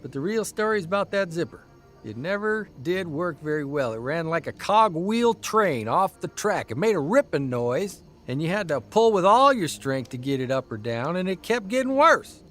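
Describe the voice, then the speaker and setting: A man's voice: on the high side, sing-song, moderate pace, a bit bright, soft background music. A man playing a grumpy, weary 1930s newspaper columnist and husband in an audio drama, wry, exasperated and folksy. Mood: animated storytelling.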